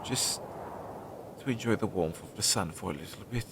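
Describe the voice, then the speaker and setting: A man's voice: moderate pace, natural voice. A man playing an anxious, insecure but tender crow familiar in an audio roleplay, talking to the listener in a hesitant, earnest way. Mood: relieved, soft. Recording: clearly noisy low-quality recording.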